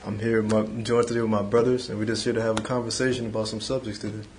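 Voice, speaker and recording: Measured young man, lowish voice. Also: flat delivery, slight background noise. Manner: measured, pausing with "um". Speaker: podcast co-host. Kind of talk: casual faith conversation.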